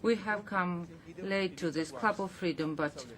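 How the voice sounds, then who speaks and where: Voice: mid-range voice, unhurried, crowd noise. An interpreter voicing a formal speech into English, hesitant and full of fillers and restarts. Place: assembly chamber.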